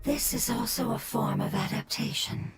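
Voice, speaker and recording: A woman voicing a game character who explains things calmly and sorrowfully. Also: a little high, normal speed, loud music behind the voice, close to an everyday voice.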